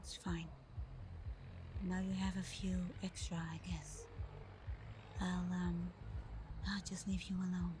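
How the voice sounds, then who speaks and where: Casting: actress as tender, wounded female vampire lover for ASMR roleplay; soft half-whisper, unhurried hesitant delivery, faint noise behind. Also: lowish voice, level tone. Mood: worried, hurt. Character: caring, hurt vampire girlfriend. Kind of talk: ASMR roleplay, intimate scripted acting.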